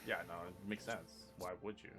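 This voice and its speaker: A man's voice: deep voice, steady tone, brisk, bright, forceful tone, soft background music. A man chatting casually before a tabletop game, rambling and restarting his sentences, laughing easily.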